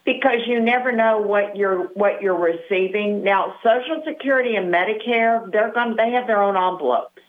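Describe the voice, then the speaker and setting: A woman's voice: medium pitch, normal speed, few pauses. A woman in her sixties, a Medicare advisor, explaining things matter-of-factly as a guest on the show. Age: 60s. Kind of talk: phone-in interview. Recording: tinny phone line.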